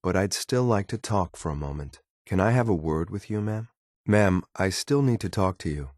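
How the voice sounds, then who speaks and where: A cold, dutiful male synth agent from a video game in a low-pitched voice. The delivery is clipped, formal and deadpan, measured throughout. The recording is very dry and close. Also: no echo. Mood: reserved, polite. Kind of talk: scripted video game companion lines.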